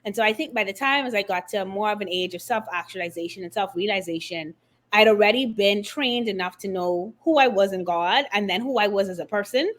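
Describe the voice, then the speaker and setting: A middle-aged woman from the Bahamas, a guest author and confidence coach, telling her story in an expressive, animated way during an interview. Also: mid-range voice, a little fast. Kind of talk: conversational interview.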